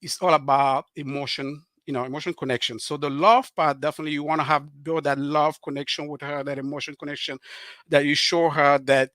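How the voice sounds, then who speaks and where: A middle-aged man from Cameroon with a Cameroonian French accent, a guest on a live show talking earnestly and haltingly, with many fillers and restarts. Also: on the high side, fairly even intonation, a bit bright, no room sound. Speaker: author and guest.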